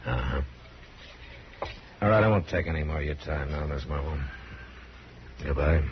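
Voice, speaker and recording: An actor playing a calm, grave frontier lawman who questions in terse, measured phrases, in 1950s radio western style. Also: a bass voice. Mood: reserved, probing. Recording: muffled, noisy vintage radio recording.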